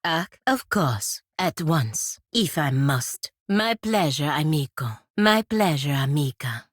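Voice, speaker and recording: A proud woman paladin from a fantasy video game, curt and sardonic. Her delivery is unhurried yet clipped and commanding, swinging widely in pitch. The sound is close and dry, with no room around it. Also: expressive, no echo, heavily acted. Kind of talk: video game character voice lines and battle barks.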